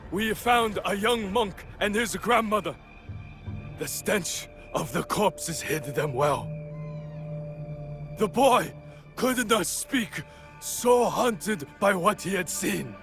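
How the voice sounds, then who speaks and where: A man playing a grave, weary Japanese lord in a video game, speaking in a measured, formal and solemn way. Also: high-pitched, gravelly, exaggerated voice. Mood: sorrowful, grave. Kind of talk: dramatic video game cutscene acting.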